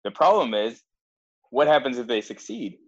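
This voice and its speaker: Guy with an average pitch, bit muffled audio, podcast cohost making his case step by step, insistent. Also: big pitch swings, normal speed, breathy edge. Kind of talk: casual podcast debate.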